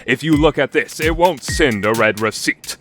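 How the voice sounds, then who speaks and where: A man's voice: mid-range voice, normal speed, fairly bright tone, natural voice. A man playing a bombastic narrator in a romantic comedy anime radio play, with grave, emphatic, theatrical delivery. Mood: dramatic, explanatory. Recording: music under the voice.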